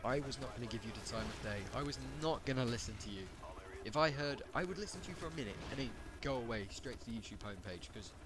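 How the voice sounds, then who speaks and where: A man's voice: animated, quick pace, background noise. A man chatting casually about gaming commentary, rambling and correcting himself as he goes. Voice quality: says he has a high voice.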